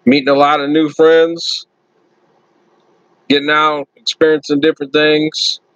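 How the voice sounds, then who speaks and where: A man hosting his own channel, chatting loudly and casually to viewers on a first live stream from his computer. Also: on the high side, flat delivery, measured pace, no echo, highs cut off. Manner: loud friendly talker. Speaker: YouTube channel host.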